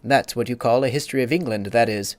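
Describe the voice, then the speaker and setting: A man's voice: medium pitch, no echo, close to an everyday voice. A man voicing a pompous, easily offended egg creature who lectures and takes offense. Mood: indignant. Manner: haughty, quick to take offense, lecturing.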